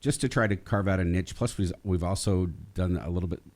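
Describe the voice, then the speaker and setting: Deep-voiced man hosting a radio interview. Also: fairly quick, darkish tone. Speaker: radio show host interviewing a former student.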